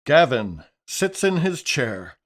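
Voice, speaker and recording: A man as a radio drama narrator with a middle-pitched, husky voice. He takes his time over the scene descriptions and moves widely in pitch. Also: big pitch swings, takes it slowly, exaggerated voice. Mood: descriptive. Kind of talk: scripted radio play narration.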